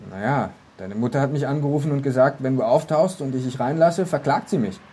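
An actor playing a wry, grumbling and excitable young techie in a naturalistic German radio play, speaking in halting slang. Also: male voice, medium pitch, husky, close to an everyday voice. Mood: wry, showing off.

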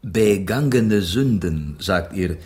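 Low-voiced, courtly Spanish poet. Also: male voice, animated, slow, a little mellow. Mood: earnest, reflective. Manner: courtly, philosophical, sprinkles Spanish phrases. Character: Spanish poet, courteous skeptic settled in England. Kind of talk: literary radio drama acting in German.

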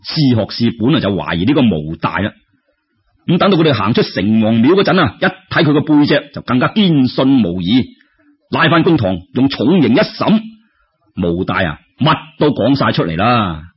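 A man's voice: medium pitch, very animated, moderate pace, slightly rough, highs cut off. A man with a Cantonese accent narrating a traditional story in a colloquial way, speaking straight to his listeners. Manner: colloquial, addresses listeners directly.

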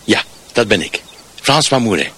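An actor playing a bewildered, meekly polite husband losing his grip in a radio drama, muttering haltingly and repeating himself. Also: fairly low pitch, sing-song, a bit bright, breathy edge, heavily acted. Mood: polite, uneasy.

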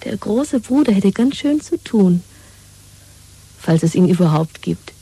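A woman's voice: medium pitch, lively intonation, measured pace, mellow, breathy edge. A woman playing a pragmatic, mocking secret lover in a 1970s dystopian radio play, intimate and half-whispered. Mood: soothing, mocking.

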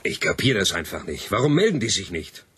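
A man's voice: low voice, big pitch swings, fairly quick, noisy background. A man playing an impatient, commanding spaceship captain in a science fiction radio play, brusque and barking orders.

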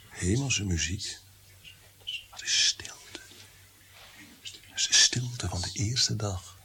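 An actor in a radio play playing a tormented, bitter, God-fearing prince-composer, brooding and theatrical, sometimes sinking to whispers. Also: male voice, low-pitched, a bit bright, a little hiss or hum, exaggerated voice.

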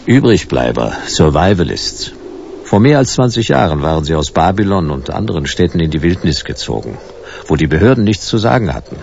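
A wry private detective in a radio crime play. The voice is deep and creaky, dryly ironic in short clipped phrases, with lively melody over a slightly muffled recording. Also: male voice, a little fast, a little hiss or hum, full character voice. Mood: dry narrating. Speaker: detective and narrator. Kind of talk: radio play, first-person noir narration.

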